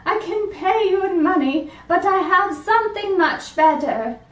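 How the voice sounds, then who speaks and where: A woman reading aloud and voicing a mysterious old woman who speaks slowly and secretively. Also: extremely high pitch, expressive, pitched above the performer's own voice. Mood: coaxing. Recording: roomy sound from a distance.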